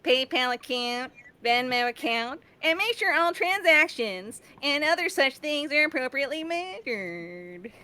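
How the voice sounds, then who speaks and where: A woman acts out a fussy, precise bookkeeper with a very high voice. She speaks slowly and deliberately, with a bright, forward tone, as if in a comic improv bit. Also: animated, heavily acted. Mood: fussy, instructive.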